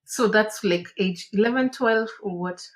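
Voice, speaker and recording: A woman's voice: mid-range voice, unhurried. A woman hosting a podcast who asks short interview questions. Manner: brief questions and listening murmurs. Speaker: podcast host. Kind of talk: casual interview.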